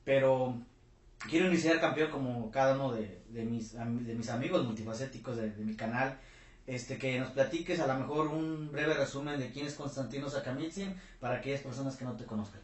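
Man, channel host, friendly, halting, echoey room. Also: mid-range voice. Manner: warm, halting with many fillers. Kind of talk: casual interview. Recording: roomy echo.

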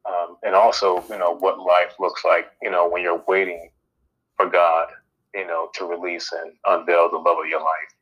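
A man's voice: deep voice, little pitch movement, normal speed, a bit bright, husky, poor audio. A man from Connecticut and Northern Virginia, an author guest answering interview questions hesitantly with many fillers. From Stamford, Connecticut and Northern Virginia. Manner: hesitant, many ums and you-knows.

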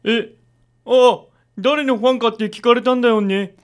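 A nerdy male idol superfan in a radio drama. His voice is strikingly high. He speaks in stilted, quaintly courteous sentences that run long. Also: fairly even intonation, moderate pace, smooth. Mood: stiff, obliging. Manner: quaint, stilted, long-winded formal phrasing. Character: nerdy, stiffly polite male idol fan.

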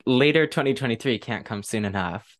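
A woman hosting a casual podcast interview, enthusiastic, with a halting delivery full of ums. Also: rumbling low, animated. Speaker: podcast host.

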